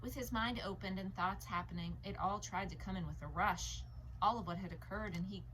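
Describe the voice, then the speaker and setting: A woman narrating a novel as a read-aloud, in an expressive audiobook style. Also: medium pitch, fairly quick, rough recording. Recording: noticeable background noise.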